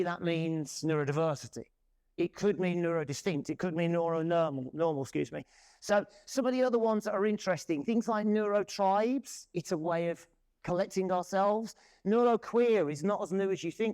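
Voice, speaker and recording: A man in his forties giving a loud, lively presentation to a conference audience. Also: high voice. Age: 40s. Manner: loud, lively, self-deprecating. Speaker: conference speaker. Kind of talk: presentation talk. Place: conference room.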